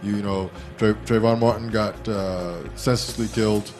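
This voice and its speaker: A man hosting a casual live talk show, asking questions in a halting way and often repeating words. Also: lowish voice, fairly even intonation, a little mellow, faint music behind.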